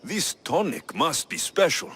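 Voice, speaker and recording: Blunt, lively mercenary hero. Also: male voice, a little high, unhurried, slight background noise, full character voice. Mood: firm, probing. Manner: dry, direct. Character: blunt, wry male mercenary hero of ancient Greece. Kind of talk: video game character acting.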